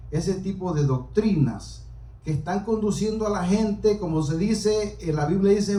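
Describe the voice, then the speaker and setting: A man preaching to a small congregation in a halting, deliberate way, explaining scripture as he goes. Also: sits high, dark tone. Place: small church during a service. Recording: roomy, echoing hall, distant microphone.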